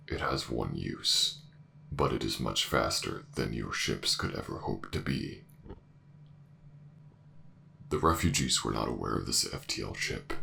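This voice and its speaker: Casting: a ruthless machine intelligence for sci-fi audio drama, bottomless pitch, heavy gravel, formal and emotionless. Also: male voice, bright, forceful tone, slight background noise, exaggerated voice. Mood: coldly resolute. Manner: formal, measured, emotionless delivery. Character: ancient warship AI director, cold, logical, ruthless. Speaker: voice actor in an audio roleplay.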